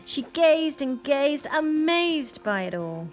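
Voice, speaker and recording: A woman reading a rhyming children's picture book aloud with expressive, deliberate storytelling. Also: high-pitched, faint music behind.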